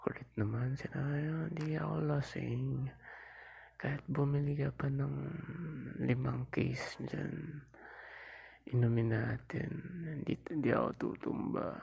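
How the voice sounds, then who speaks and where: Drunk young Filipino boyfriend , played by a man, ASMR roleplay. Very gravelly, breathy half-whisper, drawling, playful and clingy. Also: mid-range voice, darkish tone, exaggerated voice. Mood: stubborn, sulky. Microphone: close lapel mic into a mobile phone.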